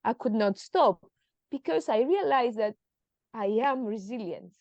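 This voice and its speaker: A woman's voice: a little high, expressive, a little slow, darkish tone, no room sound, muffled recording. A woman in her thirties from Greece, speaking as a podcast guest in a hesitant, emotional way with many fillers. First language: Greek. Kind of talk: personal interview.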